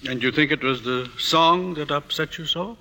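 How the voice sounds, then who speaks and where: A probing psychiatrist in a 1950s radio play asks measured questions. His voice is bright and fairly high, with a reverberant sound. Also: gravelly. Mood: attentive. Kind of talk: 1950s radio drama acting. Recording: reverberant old radio broadcast.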